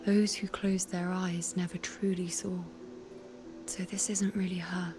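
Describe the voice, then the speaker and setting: An actress playing a calm, pensive young female traveler in a video game. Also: on the low side, unhurried, music in the background, lightly acted. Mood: hushed, pensive. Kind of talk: subdued video game acting.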